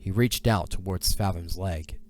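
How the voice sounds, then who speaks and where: Deep-voiced male narrator, solemn and dramatic. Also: a little mellow. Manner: solemn, measured storytelling. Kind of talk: dramatic scripted fantasy narration.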